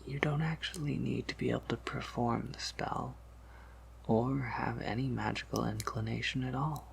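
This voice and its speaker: A man playing a soft-spoken vampire apothecary in a whispered ASMR roleplay, patiently explaining in a measured, slightly wry and knowing way. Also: mid-range voice, steady tone, slight background noise. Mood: calm, instructive. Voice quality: breathy whisper with creaky undertone.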